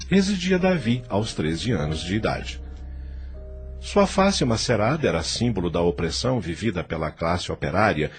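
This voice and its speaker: A man narrates an audio novel in a literary, scripted style with a Brazilian accent. His voice is low and moves expressively, with music behind it.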